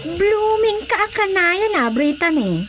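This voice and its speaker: A woman's voice: very high-pitched, big pitch swings, measured pace, a bit bright, soft background music, heavily acted. A Filipino woman playing a nosy, teasing, gossipy auntie in a radio drama comedy.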